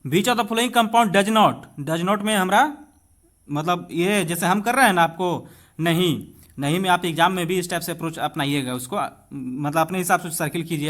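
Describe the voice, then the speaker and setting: Man, Indian accent, high-pitched voice, exam-prep teacher, instructive, reading out and explaining, addressing students directly. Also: moderate pace, fairly bright tone. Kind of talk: bilingual exam-question lesson, reading out and explaining.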